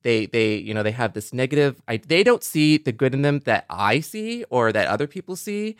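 Man, reflective casual podcast talk, animated intonation, dry close sound. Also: mid-range voice, normal speed, clean tone, no echo. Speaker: podcast co-host.

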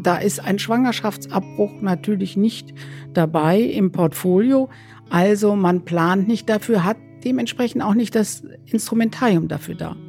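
A woman doctor giving measured, explanatory interview answers as a medical expert. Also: fairly low pitch, warm tone.

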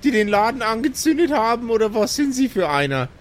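A man's voice: very high voice, big pitch swings, brisk. A man voicing a tiny, nosy old woman in an improvised audio role-play, folksy and chatty, repeating herself.

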